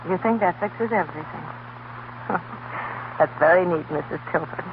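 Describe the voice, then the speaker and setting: A woman's voice: fairly low pitch, moderate pace, lightly acted. An actress playing an earnest, anguished young woman schoolteacher, intense and emotional in 1930s radio drama style. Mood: bitter. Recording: noisy, tinny 1930s radio broadcast.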